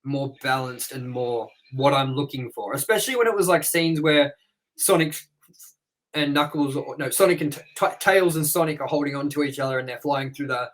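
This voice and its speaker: A man's voice: mid-range voice, steady tone, brisk, poor audio. A man co-hosting a casual movie review chat, rambling with lots of fillers and "you know". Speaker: co-host.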